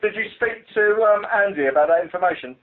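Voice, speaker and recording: A man's voice: sits high, expressive, a little hiss or hum. A British man, a police officer, speaking hesitantly and informally on a work conference call over the telephone. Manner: hesitant, halting. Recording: tinny phone conference line.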